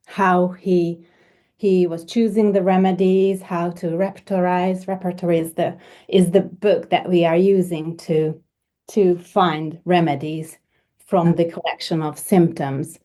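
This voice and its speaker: A woman from Hungary with a fairly low voice, talking in a slow, unhurried way. Her tone is dark and mellow, and she often pauses and repeats words as she searches for what to say. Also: little pitch movement. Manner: hesitant, many repeated words and fillers. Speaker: homeopath guest. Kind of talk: conversational interview.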